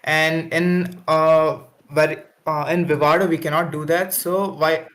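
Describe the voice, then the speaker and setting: Higher-voiced man explaining haltingly. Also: level tone, fairly bright tone. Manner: halting, with fillers. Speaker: mentee presenting a project. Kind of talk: casual technical explanation.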